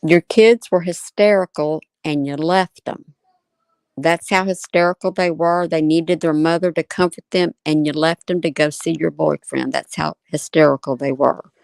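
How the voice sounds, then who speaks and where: A woman's voice: low voice, fairly even intonation, normal speed, vocal fry, darkish tone, dry recording. A woman giving casual commentary, repeating her points and asking rhetorical questions. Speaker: video commentator. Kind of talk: casual commentary reacting to a clip.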